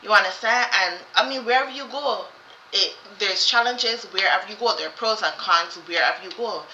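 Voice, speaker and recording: A woman's voice: mid-range voice, fairly quick, bright, forceful tone, slight background noise. A woman chatting casually as co-host, rambling and repeating herself with many fillers and restarts. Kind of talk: casual chat. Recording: roomy, slightly dull sound.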